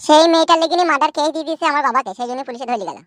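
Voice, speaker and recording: Woman playing shrill, rapid-fire village lad. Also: dry recording, clean studio sound, natural voice. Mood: aggrieved. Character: cheeky, aggrieved young village lad. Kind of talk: comic cartoon dialogue in rural dialect.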